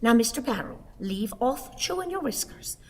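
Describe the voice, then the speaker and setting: An actress playing a querulous, sickly old wife, declaiming with grand indignation and dramatic pauses in a radio play. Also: female voice, fairly high pitch, big pitch swings. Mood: indignant. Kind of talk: stage radio play acting. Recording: long hall reverb, theatre sound.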